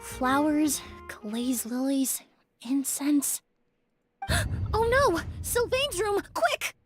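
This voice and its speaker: A woman's voice: high-pitched, big pitch swings, extremely slow, faint music behind, heavily acted. An actress playing a composed, analytical young woman in a video game, formal and polite. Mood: pensive, then alarmed.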